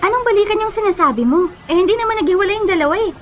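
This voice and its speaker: A young best friend in a radio drama, direct and supportive. Her voice is high-pitched, with music clearly playing behind it. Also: lively intonation, racing, fairly bright tone. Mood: protective. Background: music bed.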